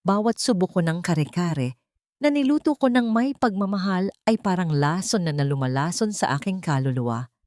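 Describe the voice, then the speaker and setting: Low-voiced Filipino woman narrating drama. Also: darkish tone, no echo, studio quality. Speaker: storyteller. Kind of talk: dramatic scripted first-person story narration.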